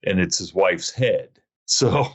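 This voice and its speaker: A man's voice: deep voice, lively intonation, takes it slowly, breathy edge. A man hosting a podcast, telling a long story in a casual, rambling, self-mocking way. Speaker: podcast host. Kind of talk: casual chat.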